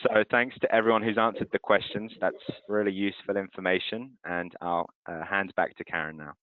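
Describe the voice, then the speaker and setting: A man from France, presenting for a currency transfer firm in a webinar and running audience polls in a clear, steady way. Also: low voice, fairly quick, no echo. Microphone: video call. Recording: tinny phone-like call audio.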